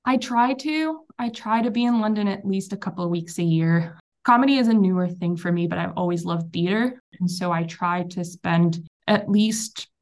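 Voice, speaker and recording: Israeli woman, twenties, fairly low voice, chatty guest, keeps restarting sentences and joking about herself. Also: normal speed. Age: 20s. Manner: chatty, animated, self-deprecating with repeated restarts. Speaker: podcast guest. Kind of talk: casual chat.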